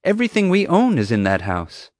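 Audiobook role: exasperated mom acted by a man, demanding, high and very animated. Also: normal speed, no echo, clean studio sound. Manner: indignant, demanding. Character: exasperated, stern mother defending her son. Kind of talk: audiobook character voice.

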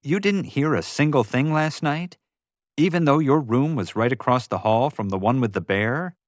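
A man's voice: medium pitch, moderate pace, no room sound, pitched above the performer's own voice, close to an everyday voice. A man voicing an earnest, uneasy boy in an audiobook, frank and questioning. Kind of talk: audiobook character voice.

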